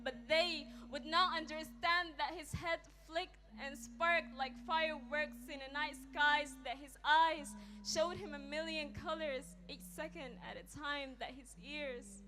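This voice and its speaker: A young woman performing spoken word poetry on stage, slow and emphatic with dramatic pauses. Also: high voice, pushed and bright. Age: young adult.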